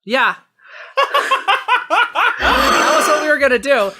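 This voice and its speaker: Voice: high-pitched, expressive, slow, pushed and bright. A comedy podcast panelist riffing casually, with a chuckly delivery and trailing asides.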